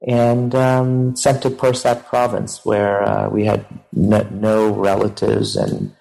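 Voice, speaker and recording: A low-pitched man in his thirties, heavy with vocal fry. He speaks as an interview guest from Cambodia, telling a personal account in a halting way with frequent pauses. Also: mellow, poor audio. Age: 30s. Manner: halting, pausing often mid-sentence. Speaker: interview guest, professor and author. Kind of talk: recounting personal family history in an interview.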